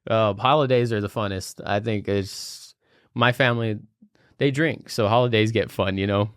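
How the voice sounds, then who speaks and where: A young man co-hosting a podcast, chatting casually and joking, with lots of fillers, his pitch jumping up high when he quotes people. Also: normal speed, smooth, no echo, clean studio sound. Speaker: podcast co-host. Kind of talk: casual chat.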